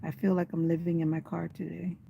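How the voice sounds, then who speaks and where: Chatty older woman, slightly raspy voice. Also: on the low side, little pitch movement, a little fast, mellow, slight background noise. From USA. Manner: rambling, chatty, talking to herself. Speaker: vlogger. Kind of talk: casual livestream chat. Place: inside a parked car in the rain.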